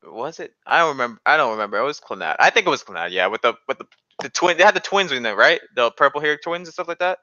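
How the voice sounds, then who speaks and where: A young man with a forceful, clear voice, arguing casually like he's among friends. He is slangy and opinionated. The recording is close and dry, though a little dull, like an online voice chat. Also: medium pitch, animated, quick pace, dry recording. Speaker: podcast co-host.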